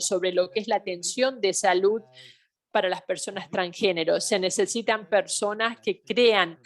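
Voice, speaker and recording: A woman simultaneously interpreting a health training webinar, speaking in halting phrases with pauses and self-corrections. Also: mid-range voice. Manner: halting delivery with pauses and restarts.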